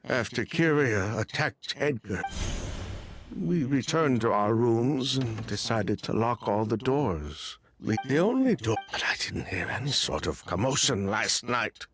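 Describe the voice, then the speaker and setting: A man's voice: medium pitch, very animated, measured pace, crowd noise. An actor playing an anxious, defensive man in a video game, flustered and stammering as he talks. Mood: nervous. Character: anxious, defensive, excitable man under questioning. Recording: music playing behind the voice. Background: game music.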